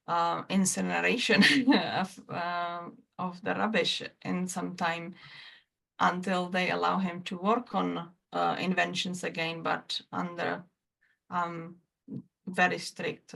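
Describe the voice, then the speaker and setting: Czech-accented woman, low gravelly voice. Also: fairly bright tone, low-quality recording. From former Czechoslovakia. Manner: halting, many restarts and fillers, non-native grammar. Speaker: guest, trauma therapist. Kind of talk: casual interview talk.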